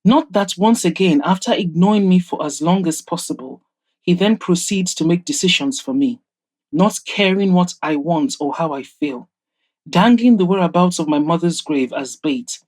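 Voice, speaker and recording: A woman's voice: fairly low pitch, slightly rough. A woman voicing a proud, guarded woman in an audio drama, speaking in pointed, formal, exasperated phrases. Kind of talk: audio drama character dialogue.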